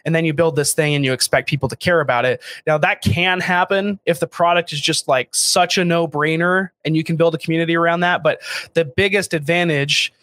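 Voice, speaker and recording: A talkative American man in his late twenties, guest on a podcast, pitched a little above most men. He rambles casually through fillers and restarts, poking fun at himself. The sound is dry and close, with no room around it. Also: steady tone, a little fast, pushed and bright, no echo. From United States. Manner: chatty, self-deprecating, many fillers and restarts. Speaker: podcast guest, startup founder. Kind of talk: casual interview talk.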